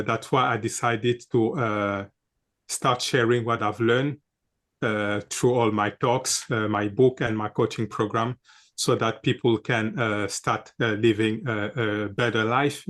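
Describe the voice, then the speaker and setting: A man being interviewed as a wellness coach, answering earnestly in measured phrases broken up by many hesitations. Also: mid-range voice, fairly bright tone. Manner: earnest, frequent "uh" fillers, measured.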